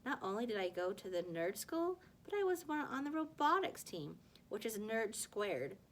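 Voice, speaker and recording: A woman reading a children's novel aloud like a teacher to a class, with animated dialogue and the odd stumble. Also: mid-range voice, moderate pace, slight background noise. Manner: animated dialogue, stumbles over words and corrects herself.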